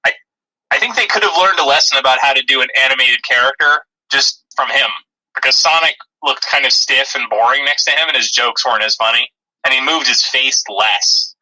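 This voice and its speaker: A man's voice: mid-range voice, fairly quick, sharp tone, poor audio. A man co-hosting a podcast with casual, joking chat. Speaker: podcast co-host.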